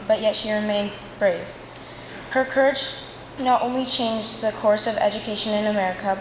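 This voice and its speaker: A woman giving a prepared student speech in a measured, formal manner. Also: medium pitch. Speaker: student speaker. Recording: echoey hall with faint noise.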